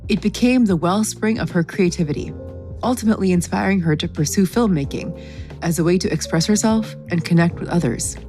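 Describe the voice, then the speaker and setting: Woman podcast host, lowish voice, calm measured read, faint music bed. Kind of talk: scripted intro and interview questions.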